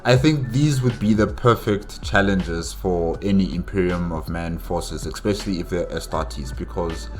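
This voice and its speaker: A man giving casual, unscripted commentary as a reaction host, with halting and repetitive phrasing. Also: low voice, soft background music.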